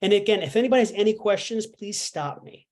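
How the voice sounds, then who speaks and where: Easygoing teacher talking through a lesson, mid pitch, loose and chatty. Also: fast talker, darkish tone. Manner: chatty, explains with fillers and playful asides. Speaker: language instructor. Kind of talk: casual online language lesson.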